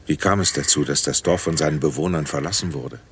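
An older military father in a radio play. The voice sits very deep, composed and courteous, with a sceptical, protective streak. Faint ambient noise lies behind it. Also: fast talker. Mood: puzzled, curious. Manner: polite, composed, questioning. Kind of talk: radio drama acting.

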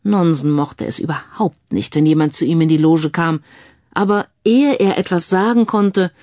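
A woman's voice: low-pitched, normal speed, dark tone, no echo. Dry-sounding woman narrating a crime story.

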